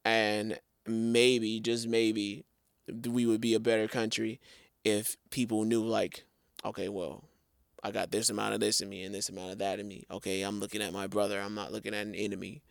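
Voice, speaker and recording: A man co-hosting a podcast in casual chat, lively and speaking straight to viewers with slang. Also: fairly low pitch, moderate pace, gravelly, dry recording, clean studio sound. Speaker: podcast co-host.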